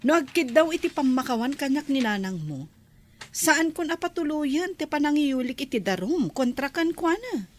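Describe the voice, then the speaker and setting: A woman's voice: sits high, animated, moderate pace. An actress playing a wronged, offended churchgoing woman, firm and aggrieved, in a radio drama. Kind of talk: Filipino radio drama acting.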